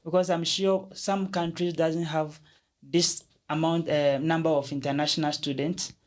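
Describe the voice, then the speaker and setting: A man hosts an interview with student representatives, his voice somewhat high for a man. He keeps the talk going with quick affirmations and follow-up questions. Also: little pitch movement. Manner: encouraging, frequent affirmations. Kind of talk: interview hosting.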